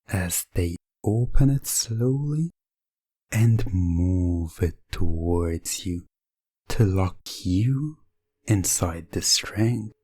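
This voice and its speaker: A man narrating a scripted second-person ASMR roleplay in a deliberate, suspenseful way, breaking sentences into short phrases. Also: deep voice, warm tone, breathy edge, no room sound. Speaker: hypnosis audio narrator.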